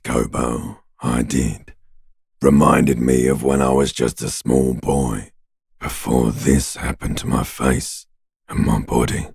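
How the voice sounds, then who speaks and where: Abyssally deep, gravelly taunting corpse. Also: male voice, a little mellow, no echo, studio quality, heavily acted. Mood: morbidly tender. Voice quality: gravelly, raspy growl. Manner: drawling, mockingly intimate, crude. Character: male ancient reptilian brain and talking corpse, taunting, morbid. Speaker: voice actor in a video game. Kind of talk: video game character voice acting.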